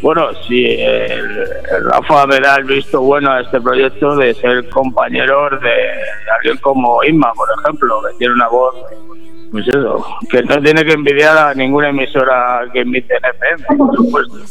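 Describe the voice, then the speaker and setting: A man with a hoarse, rough voice, talking casually and haltingly as a guest on live radio. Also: medium pitch, steady tone, fairly bright tone, poor audio. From Bujalance, Andalusia, Spain. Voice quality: hoarse, gravelly. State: hoarse after little sleep. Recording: radio show with faint music.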